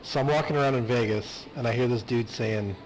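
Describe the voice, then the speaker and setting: Man, mid pitch, joking storyteller in game voice chat, light background hiss. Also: a little fast, rough recording. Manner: joking, anecdotal. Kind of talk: telling a funny story in voice chat.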